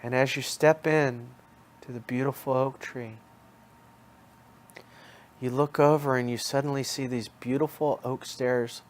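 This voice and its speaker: A man with a mid-pitched voice leads a guided imagery session. He narrates like a hypnosis practitioner, soothing the listener. Phrases come back again and again in a hypnotic pattern. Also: steady tone, unhurried, pauses often. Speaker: guided relaxation storyteller.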